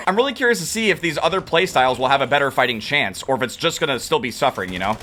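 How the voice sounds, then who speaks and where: Young guy yelling through his shooter match, fast and animated, voice kinda worn out. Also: medium pitch, noisy background. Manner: excitable, quick to rage and laugh. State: losing his voice. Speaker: gaming youtuber. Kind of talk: live gameplay commentary.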